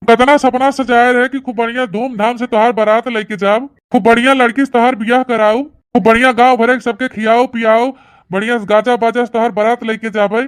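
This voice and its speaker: A woman's voice: fairly high pitch, fairly even intonation, fairly quick, smooth, lightly acted. A woman voicing a bossy, stubborn village man in a comic family cartoon, blustering and argumentative, with an Awadhi accent. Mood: indignant. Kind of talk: rustic comedy cartoon dialogue.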